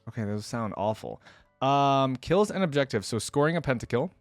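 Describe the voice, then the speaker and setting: Very deep-voiced woman, casual reactions. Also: lively intonation, normal speed, very noisy. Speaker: reaction video host.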